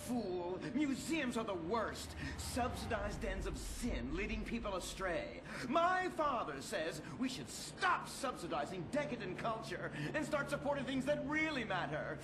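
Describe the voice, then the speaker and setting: High-pitched pompous teen hero. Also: male voice, big pitch swings, unhurried, few pauses. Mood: righteous. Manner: pompous, preachy, overdramatic. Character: pompous prudish teenage boy superhero leader, self-righteous, aggressive. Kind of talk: satirical superhero cartoon acting. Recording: roomy echo, noisy sound effects behind.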